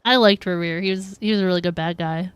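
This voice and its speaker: A woman's voice: lowish voice, steady tone, quick pace, smooth, dry recording. A woman livestreaming a game, reacting casually and chatting informally with her viewers. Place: livestream, game audio behind.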